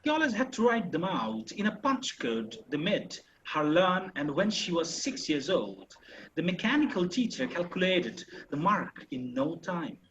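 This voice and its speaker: A man, an online English tutor, speaking haltingly with fillers. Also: a little high, big pitch swings, fairly bright tone, lo-fi sound, a little hiss or hum. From Bangladesh. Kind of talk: reading narration.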